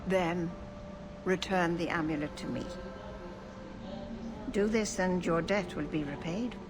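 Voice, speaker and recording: An actress playing a wise, cryptic older elf keeper in a fantasy game, speaking in a measured, solemn way. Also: female voice, on the low side. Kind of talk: fantasy video game acting. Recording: noisy game capture.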